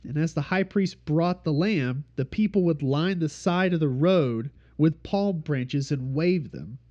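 A man with a slightly high voice teaches an informal study group, explaining patiently and circling back to his main points. His nose is stuffed up from congestion. The recording is dull and lo-fi. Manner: steady explanatory teaching, pausing to repeat points.

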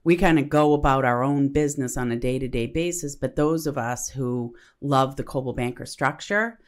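A woman's voice: very deep voice, moderate pace. A woman co-hosting a casual podcast, speaking eagerly and in long, rambling explanations. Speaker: podcast co-host.

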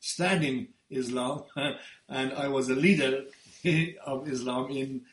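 A middle-aged man from Iran whose first language is Farsi, earnestly telling his testimony as a guest pastor in simple, halting English. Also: medium pitch, fairly even intonation, echoey room, low-quality recording.

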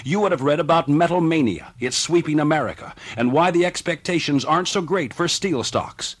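Male announcer, slightly high voice, scripted radio commercial read. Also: brisk.

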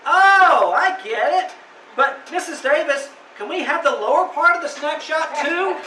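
Casting: keen, courteous teen boy for radio comedy, very high boyish squeak, recorded at a distance in an echoey room. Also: expressive, measured pace, heavy background noise. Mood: eager, boyish. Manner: earnest, boyish, exclamatory. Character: eager, polite, squeaky teenage schoolboy. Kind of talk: old-time radio comedy acting.

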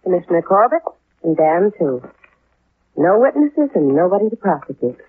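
An actress playing a scheming, brazen widow, insistent and evasive, in 1950s radio melodrama style. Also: female voice, lowish voice, sing-song, moderate pace, slightly rough. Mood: defiant. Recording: tinny, hissy 1950s radio recording.

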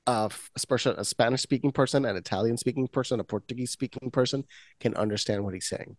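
A man hosting a podcast who talks in an animated, emphatic way and often cuts in. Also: medium pitch, fast, no room sound. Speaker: podcast host. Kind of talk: casual chat.

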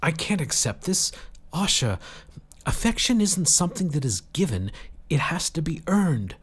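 Male narrator as tormented young man: halting, repetitive, pitch pushed up, storybook drama. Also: big pitch swings, slightly rough. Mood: pained refusal. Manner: halting, stammering, repeats words. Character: anguished, spiraling young man, stammering and self-doubting.

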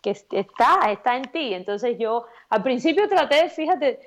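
Cuban woman musician, warm searching speech. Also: high voice, lively intonation, measured pace. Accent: Cuban Spanish. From Cuba. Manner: thoughtful, repeats words while searching for them, laughs easily. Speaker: singer-songwriter guest. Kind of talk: relaxed interview chat.

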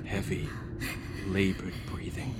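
An actor playing a frightened ex-military delivery courier, telling a horror story in a theatrical, deliberate, suspenseful way. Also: male voice, low-pitched, whispered. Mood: hushed dread. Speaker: narrating lead actor. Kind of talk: dramatic first-person horror audio play narration.

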